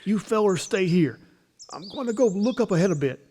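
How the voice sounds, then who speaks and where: An actor playing an alert, wary country hunter in a radio drama. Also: male voice, high voice, lively intonation, brisk, slight background noise. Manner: hushed, urgent, folksy.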